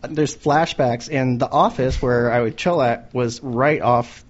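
A man's voice: mid-range voice, measured pace, dull audio. A man with a nasal voice, a guest on a casual podcast, telling stories in a dry, offhand way. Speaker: guest, custom car painter.

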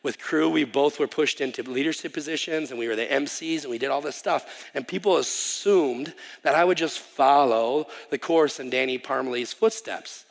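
A man in his thirties from Wisconsin speaks to a congregation as a visiting missionary, chatty and self-deprecating, often restarting with an um. His mid-pitched voice carries a gravelly edge. The sound rings with the long reverb of a church hall. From Wisconsin, USA. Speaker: missionary guest preacher. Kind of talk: casual sermon introduction. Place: church sanctuary.

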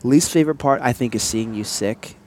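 A man co-hosting a casual couple's podcast, chatting in a relaxed, playful way, with sniffles from allergies. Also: a little fast. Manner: laid-back, playful, jumps up in pitch when excited. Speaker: podcast co-host.

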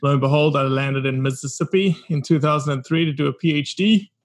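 A man's voice: on the high side, brisk. A man in his forties from South Africa with a South African accent, telling his story earnestly as a podcast guest. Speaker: podcast guest, documentary founder. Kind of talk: casual interview talk.

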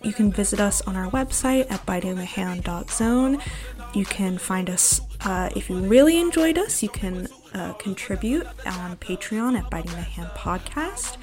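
A woman's voice: medium pitch, lively intonation, normal speed, darkish tone. Woman reading a podcast outro over music. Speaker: podcast host. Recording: music bed under voice.

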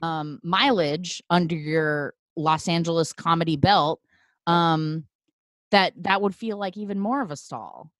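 A woman's voice: sits low, unhurried, fairly bright tone, no echo, studio quality. A woman hosting a casual chat in a thoughtful, wry way with many restarts, over a video call.

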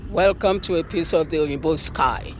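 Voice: mid-range voice, normal speed, slight background noise, lightly acted, low-quality recording. An actor in an audio drama playing a stern, vengeful talking tree, commanding and relentless. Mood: stern, taunting.

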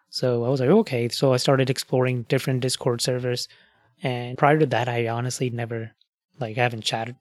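A man's voice: medium pitch, no room sound. A man hosting a podcast, chatting casually and explaining things with asides and fillers. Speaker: podcast host.

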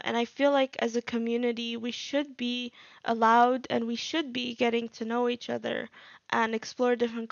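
A woman's voice: on the high side, gravelly, dry recording. A young woman from Egypt talking earnestly in a casual podcast chat, in long run-on thoughts. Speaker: guest. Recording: dull lo-fi dry sound.

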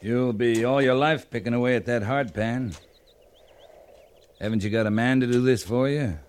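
An actor playing a steady cavalry captain in a radio western, speaking in an understated, authoritative and clipped way. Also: male voice, mid-range voice, moderate pace, lightly acted. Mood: gently firm. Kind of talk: 1950s radio western acting. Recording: noisy vintage radio drama recording.

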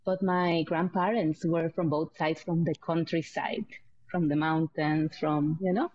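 Lower-voiced Puerto Rican woman, dull audio. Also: fairly even intonation, measured pace, darkish tone, slight background noise. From Puerto Rico. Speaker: podcast guest, herbalist and women's health educator. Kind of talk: reflective personal storytelling.

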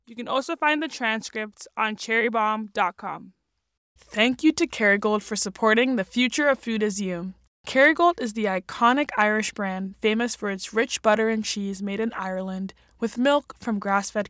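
A woman's voice: medium pitch, a bit bright, no room sound, studio quality. A woman hosting a food podcast, reading an ad and asking upbeat interview questions. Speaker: podcast host. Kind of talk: interview and read ad. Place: recording studio.

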